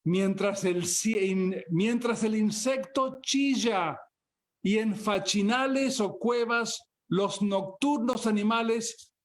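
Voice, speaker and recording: Slow-speaking Argentine man, higher voice. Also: steady tone. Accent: Argentine Spanish. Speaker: poet and translator. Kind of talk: open mic poetry reading.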